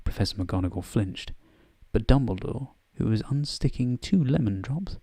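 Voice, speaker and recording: A man narrates a bedtime story as soft ASMR, at times in a whisper. His voice is mellow and creaky, captured very close and dry. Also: mid-range voice, expressive, normal speed, no echo. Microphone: close microphone in a dry space.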